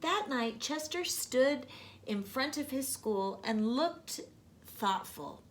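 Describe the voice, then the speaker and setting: Slow-paced woman reading a children's story. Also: high voice, animated, a little hiss or hum. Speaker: storytime host, narrator. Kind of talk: children's storybook read-aloud.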